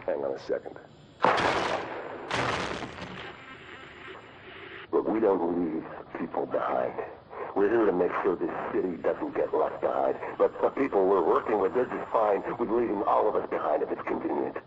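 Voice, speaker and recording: A very low-voiced rogue agent in a video game. He is cynical and power-hungry, talking with cool self-assurance and lively swings in pitch. Clear background noise sits under the voice. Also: a little fast, narrow, tinny audio. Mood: intense, persuasive. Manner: persuasive, coolly self-assured. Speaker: rogue agent and faction leader. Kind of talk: cinematic video game acting.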